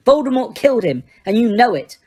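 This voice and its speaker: A woman's voice: fairly high pitch, sing-song, extremely slow, mellow, pitched above the performer's own voice, full character voice. A woman voicing an angry, defiant teenage boy who raises his voice.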